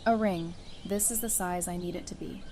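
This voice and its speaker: A woman's voice: on the low side, fairly even intonation, normal speed, mellow, natural voice. A woman playing an easygoing, friendly and sincere survivor in an audio drama. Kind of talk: fan audio drama acting.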